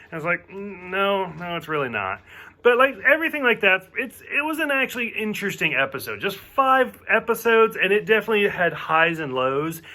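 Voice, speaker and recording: A chatty man with a light, mostly covered Southern accent talks through a TV review in a loose, rambling way, full of filler words. His voice sits high, with a bright, tense edge. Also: very animated, a little fast. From southern United States. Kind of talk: casual solo review.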